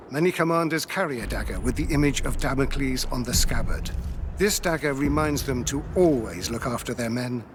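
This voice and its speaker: An actor playing a stern, noble middle-aged Roman father and veteran commander in a video game, speaking in a grave, measured, dramatic way. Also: medium pitch, background noise, lightly acted. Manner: grave, measured, solemn storytelling.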